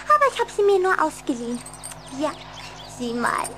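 A woman's voice: sits high, sing-song, moderate pace, background noise. An actress playing a plucky, cheeky little vampire girl in a radio play, pert and coquettish. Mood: teasing. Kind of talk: German radio play acting.

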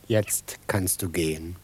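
Slow-talking partisan sentry. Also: male voice, deep voice, a little mellow, slightly rough, no room sound, heavily acted. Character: slow, stubborn but genial male partisan sentry. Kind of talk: 1950s German radio drama acting.

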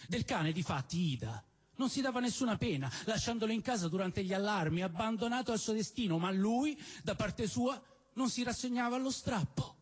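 A man giving an expressive, theatrical reading of literary prose as a storyteller, with emphatic phrasing and deliberate pauses. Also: high voice. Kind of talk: expressive dramatic reading of a novel. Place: live stage at a celebration event in a cinema venue.

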